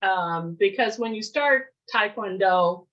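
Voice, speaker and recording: A woman's voice: medium pitch, slow, a bit bright. Muffled woman reminiscing in an interview. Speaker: interview guest. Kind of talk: casual storytelling, reminiscing. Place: remote virtual interview. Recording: muffled lo-fi video call.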